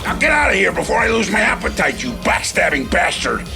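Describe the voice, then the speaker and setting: Casting: menacing, self-satisfied game crime boss, heavy gravel, animated delivery. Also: male voice, high voice, fairly quick, music in the background, exaggerated voice. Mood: angry. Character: gruff male crime boss, smug, menacing, foul-mouthed. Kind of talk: video game cutscene acting.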